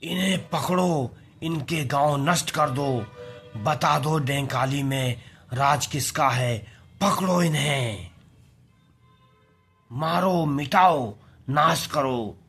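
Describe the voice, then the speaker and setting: A man's voice: a little high, slow pace, pauses often, fairly bright tone, husky, faint music behind, full character voice. A man acts out a brutal giant ape chief from an adventure audio story. The creature is vengeful and commanding, barking out orders with menace. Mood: commanding, savage.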